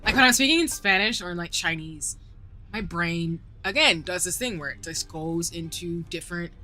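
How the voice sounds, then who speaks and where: Lively, bright-voiced Southern woman streamer. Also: on the low side, moderate pace. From southern United States. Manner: giggly and chatty, rambling with many fillers. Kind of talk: casual live-stream chat while gaming. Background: faint background music.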